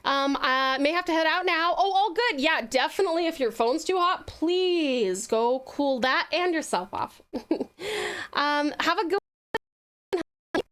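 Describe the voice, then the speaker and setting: A woman's voice: high-pitched, expressive, unhurried, a bit bright. A woman hosting a casual livestream, chatting sweetly and effusively with her viewers and using lots of endearments. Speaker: livestreaming virtual streamer host.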